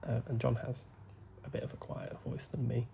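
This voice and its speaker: A young man, talking softly and rambling as he plays a game, full of fillers and asides. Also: medium pitch, level tone, vocal fry, a little hiss or hum. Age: young adult. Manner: soft, deliberately hushed talk with frequent fillers. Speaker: gaming YouTuber. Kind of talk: rambling gameplay commentary.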